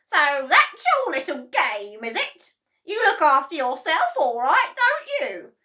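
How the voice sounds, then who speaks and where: A woman voicing a bossy, enormous old grandmother who shouts shrilly in a storybook reading. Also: sing-song, takes it slowly, distant mic, exaggerated voice. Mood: accusing. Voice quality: shrill, bellowing.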